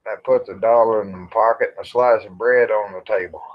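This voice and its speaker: A man's voice: fairly low pitch, fairly even intonation, fairly quick. A middle-aged man, hosting a casual livestream chat in a folksy, rambling, salty-tongued way. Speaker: livestream host. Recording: dull lo-fi livestream audio.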